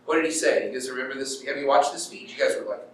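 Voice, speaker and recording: A man's voice: mid-range voice, fairly even intonation, fast, a bit bright. A middle-aged man giving an informal university lecture in a conversational way, acting out paraphrased quotes and checking in with his listeners. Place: lecture room at a university, in front of an audience. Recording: very echoey room, distant mic, background noise.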